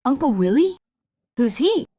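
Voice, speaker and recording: A woman's voice: high voice, very animated, a little slow, warm tone, exaggerated voice. A woman playing a strict, exasperated mother in an audio drama, scolding and nagging.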